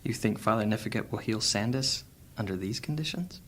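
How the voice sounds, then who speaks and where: An actor playing a guarded, cunning male thief in a fantasy audio drama, speaking in a dry, measured way. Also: lowish voice, animated, fairly bright tone. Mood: scheming.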